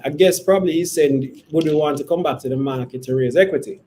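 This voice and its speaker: A man, speaking as a company executive answering investor questions in a measured, hesitant, careful way. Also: on the high side. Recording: steady background noise.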